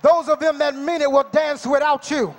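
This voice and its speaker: High-voiced shouting preacher. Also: male voice, flat delivery, normal speed. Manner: shouting, speaking in tongues, rhythmic chanting. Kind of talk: charismatic preaching over a praise break. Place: large convention hall with crowd. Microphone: handheld mic. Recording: music playing, far from camera mic.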